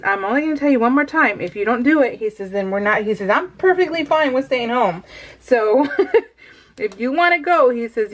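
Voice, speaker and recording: Chatty woman rambling with frequent laughs. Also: a little high. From California. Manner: rambling, laughs often, lots of fillers. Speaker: crafting vlogger. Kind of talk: casual chat to viewers. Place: home craft room.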